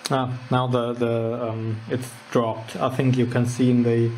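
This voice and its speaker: A man giving a casual, unscripted electronics demonstration, halting and informal as he thinks aloud. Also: medium pitch, level tone, darkish tone, a little hiss or hum, rough recording. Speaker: host of a DIY electronics channel. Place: indoors at a wooden workbench.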